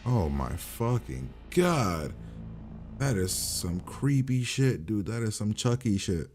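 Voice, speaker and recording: A man's voice: on the low side, big pitch swings, takes it slowly, darkish tone, faint music behind. A man giving casual, profane reaction commentary in a blunt, slangy way. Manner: blunt, slangy, swears constantly. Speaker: host reacting to a TV episode.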